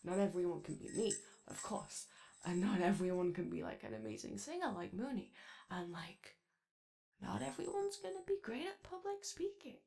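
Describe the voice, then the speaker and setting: A woman's voice: lowish voice, very animated, moderate pace, vocal fry, warm tone, faint music behind. A woman voicing an anxious, kind-hearted daycare robot in a hesitant, rambling, apologetic ASMR roleplay. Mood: nervous rambling. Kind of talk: ASMR character roleplay, soft conversational.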